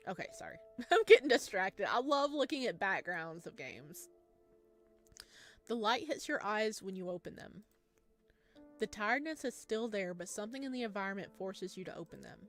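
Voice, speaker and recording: A woman does a game playthrough, reading narration aloud in a mid-pitched voice. She slips between storytelling and casual, giggly commentary of her own. Music plays behind her. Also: a bit bright. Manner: giggly, informal asides between read narration. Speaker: gamer playing and reading a visual novel aloud.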